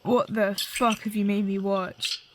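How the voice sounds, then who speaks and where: Woman playing slow, incredulous young woman, noisy. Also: medium pitch, little pitch movement, close to an everyday voice. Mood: disgusted, incredulous. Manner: blunt, incredulous. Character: disgusted, incredulous young female friend. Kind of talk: naturalistic radio play dialogue. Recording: noisy recording with clicks.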